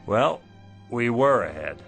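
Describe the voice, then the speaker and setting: A man acting in a radio drama as a weary, wistful middle-aged shopkeeper husband, halting and rueful in manner. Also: medium pitch, big pitch swings, vocal fry, soft background music, exaggerated voice. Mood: relieved, wry. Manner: halting, self-doubting, rueful. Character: weary, wistful failing antique shop husband.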